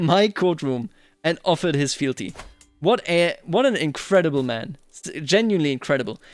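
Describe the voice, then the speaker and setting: A man giving live roleplay commentary over a strategy game, thinking aloud and now and then addressing characters theatrically, with music behind him. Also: on the high side. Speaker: gaming video host.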